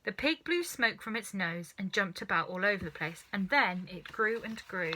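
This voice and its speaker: A woman reading a children's story aloud as an expressive narrator. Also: medium pitch, moderate pace, slight background noise, rough recording. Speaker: storybook reader.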